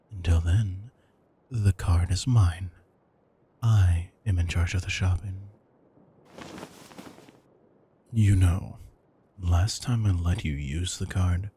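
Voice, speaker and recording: Male actor as retired villain turned house husband, deep, very raspy, smug, dryly affectionate, thrifty, close romantic audio roleplay. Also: dark tone, full character voice. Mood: smug, fussy. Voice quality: husky, gravelly low voice. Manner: smug, dry, affectionate, deliberate phrasing. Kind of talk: intimate scripted audio roleplay.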